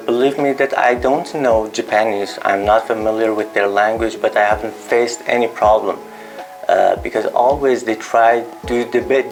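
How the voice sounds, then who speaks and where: A young man speaks earnestly about his stay abroad, pressing key words with emphasis and pausing on fillers. His voice sits at a medium pitch. Soft music plays quietly in the background. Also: poor audio. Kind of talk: interview, personal testimony. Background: faint music.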